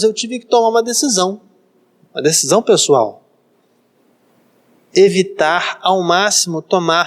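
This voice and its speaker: A man in his thirties, a pastor from Brazil, preaching a sermon and repeating phrases for emphasis. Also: high voice. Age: 30s.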